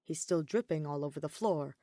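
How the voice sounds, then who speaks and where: A woman reading a story aloud as narrator, in an expressive scripted style. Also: low voice, a little fast, no echo, studio quality. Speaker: podfic narrator.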